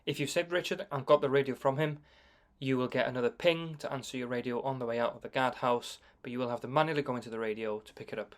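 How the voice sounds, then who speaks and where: Man, average pitch, conversational game commentator, informative, explanatory delivery. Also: steady tone, fast.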